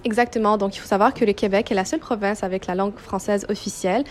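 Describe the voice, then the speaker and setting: This is a young woman, speaking as a youth organization representative. She gives explanatory answers in an interview, at a middling pitch for a woman. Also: fairly even intonation, fast talker, slight background noise. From Quebec, Canada.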